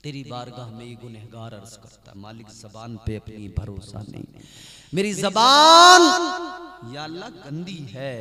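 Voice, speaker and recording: A man's voice: medium pitch, fairly bright tone. A man preaching and praying at a religious gathering in an emphatic, rhetorical, repetitive way. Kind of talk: impassioned sermon and supplication at a religious gathering. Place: large religious gathering at night.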